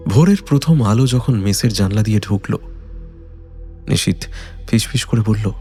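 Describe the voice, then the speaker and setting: A man narrates a suspense story in a low, dark voice with a husky, gravelly edge. His delivery is measured and dramatic, over soft background music.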